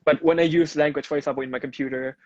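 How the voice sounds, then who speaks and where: A man's voice: a little high, fairly even intonation, very fast, no echo. Chatty young Thai man, lo-fi stream audio. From Thailand. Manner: chatty, many restarts and "you know" fillers. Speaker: guest, actor. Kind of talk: casual live-stream interview.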